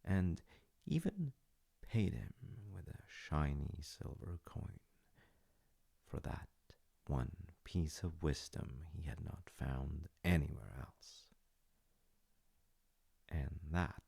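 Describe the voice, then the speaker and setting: A man playing a gentle, doting dad with dry humor in an intimate comfort audio roleplay, musing softly and haltingly in a husky murmur. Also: rumbling low, very animated, full character voice. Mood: storytelling, then wry, musing. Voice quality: gravelly, husky, often dropping to a breathy murmur. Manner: slow, soft-spoken, halting, musing. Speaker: voice actor.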